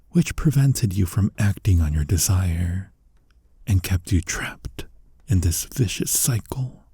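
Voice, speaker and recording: A man voicing a flirty, tender incubus demon in an ASMR roleplay, breathy and husky, coaxing slowly and reassuringly, often sinking into a whisper. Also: fairly low pitch, warm tone, no echo, clean studio sound, full character voice. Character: flirty male incubus demon, tender, soothing, gently dominant. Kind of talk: ASMR roleplay, intimate comforting monologue.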